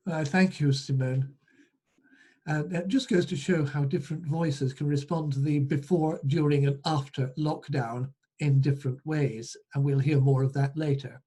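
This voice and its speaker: Husky, mellow-voiced man reading aloud. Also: a little high, steady tone, moderate pace. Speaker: host and storyteller at a writers' group reading. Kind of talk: informal introductions and storybook read-aloud.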